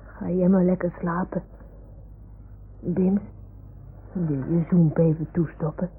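Ernstig klein kind, dunne radioklank. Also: female voice, lowish voice, fairly even intonation, slow. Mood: gently caring. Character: little child talking tenderly with toy animals. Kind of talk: 1960s-70s radio play acting. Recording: muffled, hissy old radio recording.

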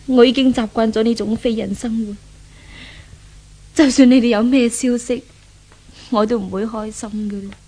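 A woman's voice: a little high, steady tone, normal speed, long pauses, warm tone, close to an everyday voice. An actress in a 1970s radio drama playing a guilt-ridden, world-weary young woman with a melodramatic, sorrowful manner. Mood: numb, despairing. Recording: old radio drama, music behind voice.

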